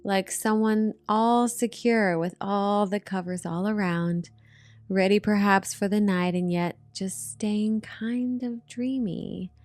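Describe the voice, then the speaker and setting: A woman narrating a guided meditation in a soothing, gentle manner, with drawn-out hypnotic phrasing. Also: medium pitch, fairly even intonation, dry recording. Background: relaxation music.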